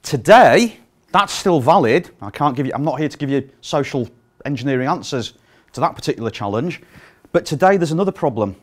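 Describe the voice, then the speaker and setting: British man, middle-aged, conference speaker, projecting voice, slightly high pitch, filler words. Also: fairly quick. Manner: projecting to fill a room without a sound system; lively, frequent ums and uhs. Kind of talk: live conference talk. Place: conference room. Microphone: no microphone.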